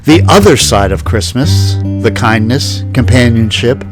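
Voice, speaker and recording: A man's voice: medium pitch, expressive, a little slow. A man reading scripted narration as a narrator. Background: plucked guitar music.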